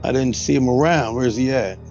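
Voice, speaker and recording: Lofi audio of a guy playing tabletop rpg, lowish voice, casual, music behind. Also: brisk, a little mellow, dull audio. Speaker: player in a tabletop role-playing game. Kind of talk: casual in-game chat and improvised play.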